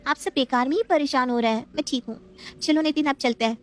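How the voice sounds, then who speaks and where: A woman's voice: high voice, fast talker, close to an everyday voice. A woman voicing a spoiled, scheming young married daughter in a family story, whiny and demanding. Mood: sly.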